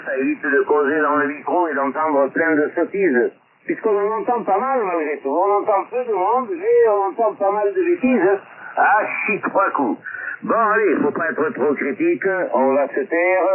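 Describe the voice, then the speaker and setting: A man chatting casually as a radio amateur over a tinny transmission. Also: on the high side. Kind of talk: casual ham radio chat. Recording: tinny radio link with voices and clutter behind.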